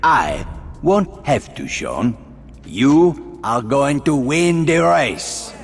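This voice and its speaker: An actor playing a suave, eloquent French resistance leader in a video game, theatrical, with a French accent. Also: male voice, on the high side, big pitch swings, drawn-out words, vocal fry, big-room sound, faint music behind, exaggerated voice. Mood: confident, sly. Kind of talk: cinematic video game acting.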